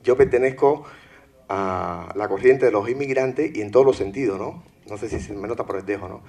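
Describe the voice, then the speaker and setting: A man in his fifties from Peru, giving a conference presentation on teaching. He talks in a relaxed way and keeps checking in with "¿no verdad?" and "digamos". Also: lowish voice, moderate pace, vocal fry. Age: 50s. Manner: filler-heavy, asks "¿no verdad?" often. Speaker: conference speaker. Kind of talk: educational presentation. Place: conference hall.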